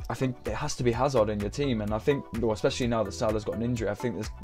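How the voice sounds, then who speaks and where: A young man, chatting casually on a live stream, slightly croaky from a sore throat, hesitating often with ums and uhs. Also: medium pitch, steady tone, rapid-fire, darkish tone, soft background music. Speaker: fantasy football streamer.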